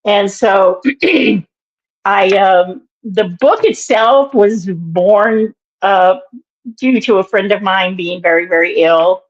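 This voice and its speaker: This woman in her sixties has a naturally raspy voice, roughened further by allergies that keep her clearing her throat. She speaks at a middle pitch and meanders, pausing and restarting as she recalls things. The recording sounds dull and lo-fi. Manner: meandering, halting, with many fillers and restarts. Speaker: guest, minister and author. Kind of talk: reflective interview storytelling.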